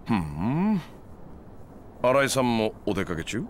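A man's voice: medium pitch, sing-song, takes it slowly. A man playing a laid-back, shrewd money lender in a game cutscene, casual and probing, with ambient noise behind him. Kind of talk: video game cutscene acting.

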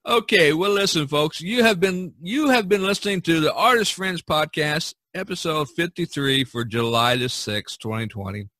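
A man's voice: a little high, moderate pace, hardly any pauses, pushed and bright, no room sound. A man hosting an art podcast in casual chat, speaking in an encouraging way. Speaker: podcast host and artist.

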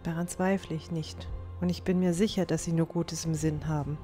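A gentle teenage girl, acted by a woman, in a fantasy audio drama. Her voice is mellow and soft-spoken, earnest and resolute, with faint music underneath. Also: low-pitched, fairly even intonation, normal speed, close to an everyday voice. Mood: earnest, hopeful.

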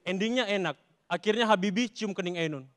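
A man's voice: high-pitched, brisk, fairly bright tone. A young man with a Makassar Indonesian accent performing stand-up comedy, telling stories in an emphatic, theatrical way into a handheld mic. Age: young adult. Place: large TV studio stage with audience. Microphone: handheld microphone.

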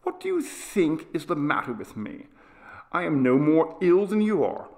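A man's voice: fairly high pitch, sing-song, moderate pace, darkish tone, voice pushed up. A man voicing a feverish, eager young boy who pleads urgently and insistently in an audiobook story. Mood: indignant, pleading. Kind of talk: dramatised audiobook character reading.

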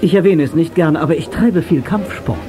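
Actor as confident high-voiced hero. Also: male voice, fairly quick, over music, lightly acted. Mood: cocky. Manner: cool, casual, self-assured. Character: cocky, confident young male hero. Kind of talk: audio drama acting.

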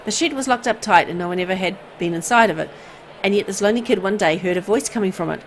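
A woman reading visual novel text aloud as expressive, storytelling narration. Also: mid-range voice, quick pace, a little hiss or hum, poor audio. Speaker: video game player and narrator.

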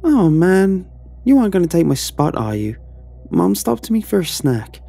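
A man voicing an excited young boy in a spoken horror story. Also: high-pitched, normal speed, gravelly, husky, voice pushed up, heavily acted.

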